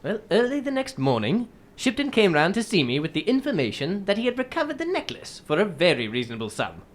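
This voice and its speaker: A woman playing a shrewd, composed English gentleman detective in a radio drama, telling his case in formal, measured phrases. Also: mid-range voice, huge pitch swings. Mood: composed, explanatory.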